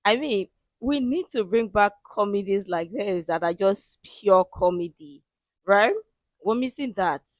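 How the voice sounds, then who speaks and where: A woman with a mid-pitched voice gives casual reaction commentary as a channel host. She is animated and exclaims as she goes, recorded dry with little room sound. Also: a little slow, dry recording.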